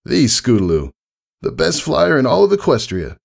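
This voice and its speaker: A man voicing a grown female pegasus flyer, brash yet affectionate and gently encouraging like a big sister, in a storybook reading. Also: mid-range voice, huge pitch swings, moderate pace, creaky, voice pushed up, exaggerated voice. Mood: encouraging, proud.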